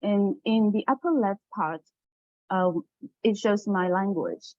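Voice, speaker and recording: A woman whose first language is Chinese, giving a careful, earnest academic presentation with soft fillers. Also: medium pitch, slow pace, no echo. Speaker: graduate student presenter. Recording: dull dry lo-fi audio.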